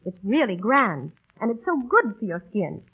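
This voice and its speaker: A woman's voice: mid-range voice, sing-song, normal speed, breathy edge, full character voice. An actress playing a chatty, enthusiastic young woman in a 1930s radio commercial. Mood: instructive, pleased. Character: chatty young woman demonstrating a beauty routine. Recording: tinny old radio recording.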